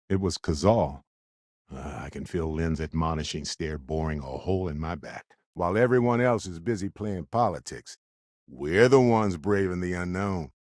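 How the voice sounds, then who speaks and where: A man playing a genial, wry, curious middle-aged space explorer in a video game, speaking in a conversational, expressive way. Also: deep voice, no room sound, clean studio sound. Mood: casual, chatty. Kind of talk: video game character dialogue.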